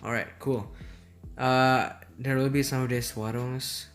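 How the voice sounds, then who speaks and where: Man, relaxed game commentary, meandering and unhurried, medium pitch, soft music underneath. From Indonesia. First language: Indonesian. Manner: rambling, easygoing, hesitant. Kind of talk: casual gameplay commentary. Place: at home.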